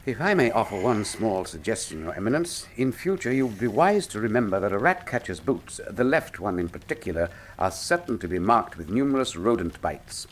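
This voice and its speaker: An actor playing a shrewd, urbane, wry detective in a radio drama, speaking formally and precisely with a theatrical, teasing manner. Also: male voice, lowish voice, big pitch swings, brisk, few pauses, slight background noise. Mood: dry, knowing. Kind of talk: full-cast radio drama acting.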